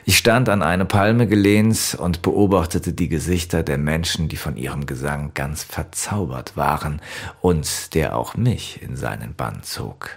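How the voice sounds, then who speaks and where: A man narrating a first-person story from a script in a measured, wistful way. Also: very deep voice, vocal fry, breathy edge. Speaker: audiobook narrator.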